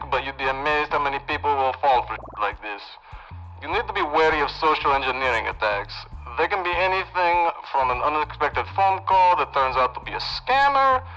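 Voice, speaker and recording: Man playing a bright, cocky technomancer broadcaster. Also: on the high side, muffled recording. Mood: instructive, breezy. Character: cocky self-proclaimed technomancer giving radio safety announcements. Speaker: in-story radio broadcaster. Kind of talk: radio PSA monologue in a sci-fi audio drama.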